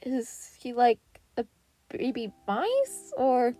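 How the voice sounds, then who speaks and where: A woman voicing a dim, easily stunned teenage boy student in a fan story, speaking in halting, baffled exclamations. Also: a little high, sing-song, mellow, no echo, heavily acted. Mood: confused.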